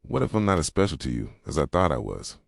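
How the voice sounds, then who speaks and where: A man playing a jealous, possessive yandere boyfriend in an intimate ASMR roleplay, speaking softly and tenderly and slipping into whispers. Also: sing-song, fast, creaky, heavily acted. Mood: pleading, insecure. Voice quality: soft, low, slipping into whispers. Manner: tender, brooding, confessional.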